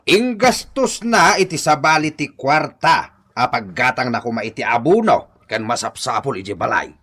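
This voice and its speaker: A man's voice: mid-range voice, lively intonation, moderate pace. A man playing an angry, emphatic older father-in-law in an Ilocano radio drama. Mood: indignant. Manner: indignant, forceful, emphatic.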